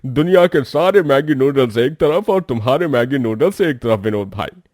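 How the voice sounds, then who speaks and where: Man doing an over-the-top happy customer, high and loud, gushing. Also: big pitch swings, measured pace, no echo, studio quality. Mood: delighted. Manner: gushing praise. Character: delighted customer, effusive and cheerful.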